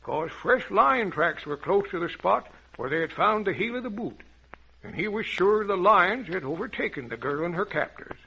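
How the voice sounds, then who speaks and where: An old-time radio storyteller, a jovial old adventurer. His voice is creaky and husky, swinging in lively folksy turns through a dull, lo-fi recording. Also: high-pitched, moderate pace, full character voice. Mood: folksy storytelling. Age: older. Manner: folksy, chuckling, rambling storyteller. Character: jovial old adventurer telling children tales.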